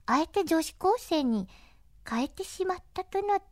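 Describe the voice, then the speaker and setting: A woman hosting a chatty, polite radio-style interview in an animated way, with frequent agreeing interjections. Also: very high voice, moderate pace, no room sound, clean studio sound. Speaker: interviewer and DJ host.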